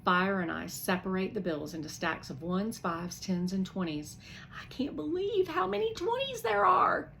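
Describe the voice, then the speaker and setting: A woman reading a children's novel aloud with lively expression, pausing to explain words to young listeners. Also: mid-range voice, gravelly, slight background noise. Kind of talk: expressive read-aloud for kids.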